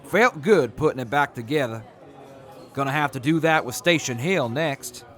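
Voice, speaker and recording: Man as an earnest former major, polite, animated delivery, audio drama. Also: mid-range voice, normal speed, soft background music, lightly acted. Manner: earnest, polite, flustered when cornered.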